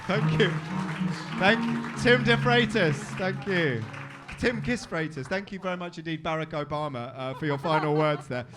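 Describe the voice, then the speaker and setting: A man hosting a live comedy night, giving blunt, matey feedback to acts and loudly announcing the next one. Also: on the high side, normal speed, music in the background, a crowd in the background. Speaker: comedy show host. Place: comedy club stage.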